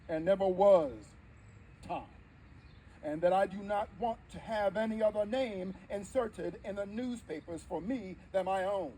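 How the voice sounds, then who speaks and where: A man playing a dignified, wry nineteenth-century preacher, telling his story in emphatic theatrical oratory with deliberate dramatic pauses. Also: high-pitched, mellow, slight background noise. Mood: firm, dignified. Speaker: live history interpreter. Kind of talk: theatrical first-person oratory before an audience.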